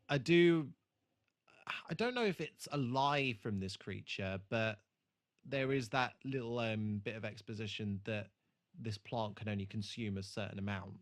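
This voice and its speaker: A man's voice: on the low side, measured pace, long pauses. A man co-hosting a podcast in casual chat, hesitant and given to swearing. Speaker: podcast co-host. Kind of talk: casual chat, re-watch commentary.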